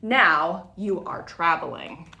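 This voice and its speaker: A woman voicing a boastful, taunting male trickster raccoon for a children's storybook, loud and teasing. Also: fairly low pitch, animated, slow pace, creaky, echoey room, slight background noise, exaggerated voice. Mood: gleeful taunting.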